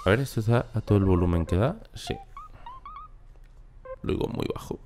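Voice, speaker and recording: A man in his thirties with a Spanish accent from Spain, reacting on stream with incredulous, animated commentary that jumps to loud outbursts. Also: on the low side, moderate pace. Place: small home studio room. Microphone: large studio mic close up, headphones on. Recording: faint music under the voice. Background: reggaeton music playing.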